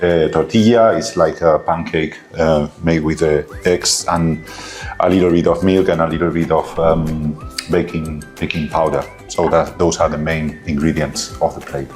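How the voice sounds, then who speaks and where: A man's voice: rumbling low, fairly even intonation, normal speed, darkish tone, faint music behind. A man from Spain with a Spanish accent, speaking English hesitantly with many fillers as a guest cook. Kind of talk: casual kitchen chat.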